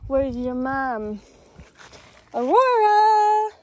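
A woman's voice: sits high, sing-song, extremely slow, a little mellow. A woman giving a casual outdoor tour on a handheld phone, talking affectionately as if to animals. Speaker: ranch owner giving a tour. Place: outdoors in an open dry pasture. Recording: noisy outdoor phone recording.

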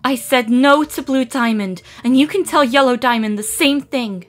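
A woman voicing a melancholy, guarded young female alien water gem in a cartoon dub, wistful and halting. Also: sits high, soft background music, natural voice. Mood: defiant. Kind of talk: dramatic cartoon comic dub.

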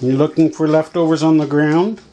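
A man's voice: on the high side, fairly even intonation, a little fast, dark tone, slight background noise. A man filming off camera, teasing and playful in his banter. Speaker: camera operator. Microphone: off-camera, behind the camera.

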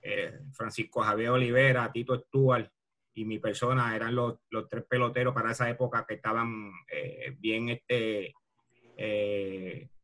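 Man, Puerto Rican accent, bright forceful voice, middle-aged, retired ballplayer, casual video call storytelling. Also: medium pitch, steady tone, a little slow. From Bayamón, Puerto Rico. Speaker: retired baseball player, interview guest. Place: video call from a room at home.

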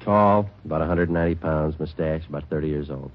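An actor playing a terse, deadpan police detective narrating a vintage radio crime drama. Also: male voice, very deep voice, brisk. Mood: matter-of-fact. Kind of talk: 1950s radio drama narration, clipped and factual. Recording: muffled old radio recording with music.

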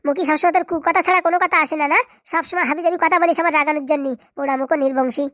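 Woman acting a shrill, bossy madam, very fast. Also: steady tone, a bit bright, no echo, studio quality. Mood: angry scolding. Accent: rural Bengali. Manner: scolding, blustering, insult-laden. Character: bossy, quick-tempered madam, scolding and cursing. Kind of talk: comic cartoon dialogue.

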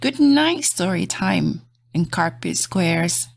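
Female children's read-aloud host: gentle, encouraging, slow and expressive, recorded dry and close. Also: fairly low pitch, creaky, dry recording. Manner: gentle, sing-song, encouraging.

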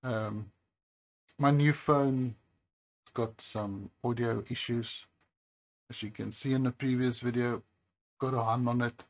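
A man with a low voice talking slowly and casually at his workbench, with many pauses and hesitations. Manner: slow, relaxed, with many hesitations. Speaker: phone repair channel host. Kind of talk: casual talk to camera while working. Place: workbench by a highway.

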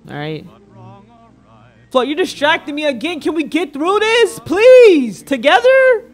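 High-voiced young man, slangy streamer banter. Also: sing-song, unhurried. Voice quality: slangy, informal speech. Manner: playful, bantering with chat. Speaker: livestreamer. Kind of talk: casual reaction stream commentary. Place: indoor room at a streaming setup. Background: faint background music.

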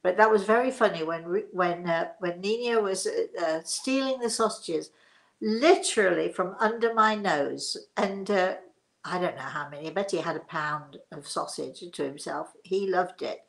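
A woman's voice: lowish voice, moderate pace, creaky. A middle-aged woman from England with an English accent, chatting and telling anecdotes as a host in a rambling, chuckling way. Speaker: host of a live story session. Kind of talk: casual chat and personal anecdotes. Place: living room, indoors.

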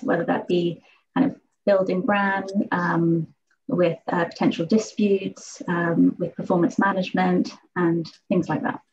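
A woman's voice: lowish voice, darkish tone. A woman lawyer from the UK introducing herself steadily on a video call panel. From United Kingdom. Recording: dull video call audio, faint noise.

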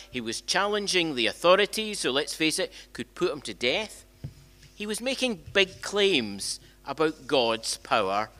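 An older man delivers a conversational sermon from a church lectern. His voice is bright and forceful, with lively swings in pitch. He leans on rhetorical questions and often repeats or restarts a phrase as he goes. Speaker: minister preaching. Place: church sanctuary, indoors. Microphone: gooseneck lectern microphone.

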